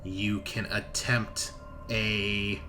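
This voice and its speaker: A man narrating a sci-fi horror tabletop game as game master, speaking haltingly and thinking aloud with pauses. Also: on the low side, level tone, music in the background.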